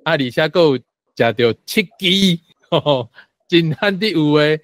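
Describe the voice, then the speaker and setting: A man's voice: fairly high pitch, expressive, slow, dry recording, studio quality. A man with a Taiwanese accent giving his reading of a story as a guest in a conversational discussion. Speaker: guest panelist.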